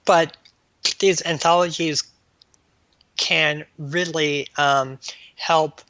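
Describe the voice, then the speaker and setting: Very slow, halting man, bright voice. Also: on the high side, fairly even intonation, dry recording, lo-fi sound. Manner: halting, choppy delivery with stammered word starts. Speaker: interview guest, author and editor. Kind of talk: casual interview chat.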